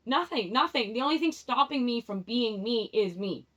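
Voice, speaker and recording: A young woman with a slightly high voice gives a rambling, candid tarot reading, circling back and restarting her thoughts. Spanish is her first language. The recording sounds a little dull and lo-fi. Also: lively intonation, moderate pace, a bit bright. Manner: rambling and candid, restarts often, laughs at herself. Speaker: tarot vlogger.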